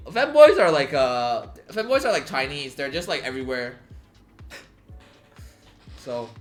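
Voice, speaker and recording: A man's voice: on the high side, fairly bright tone. A young man chatting casually on a live stream, rambling with many fillers and swearing freely. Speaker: livestreamer.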